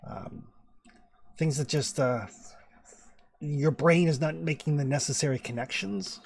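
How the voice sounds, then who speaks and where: A man's voice: on the high side, unhurried, vocal fry, husky, no echo. A man acting as game master for a tabletop horror campaign, talking through rules and story in a loose, chatty way full of fillers and restarts over a home microphone.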